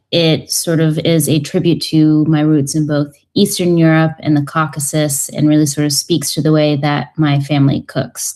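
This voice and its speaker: A low-pitched woman in her thirties speaks as a guest on a radio talk show. She talks in a thoughtful, halting way, with frequent ums and uhs, explaining her cooking. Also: mellow. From United States. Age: 30s. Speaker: cookbook author guest. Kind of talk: radio interview.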